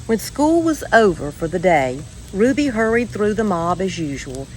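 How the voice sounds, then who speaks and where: A woman reading a children's story aloud in a measured, expressive way with deliberate pauses. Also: medium pitch, slight background noise, low-quality recording. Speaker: storybook reader.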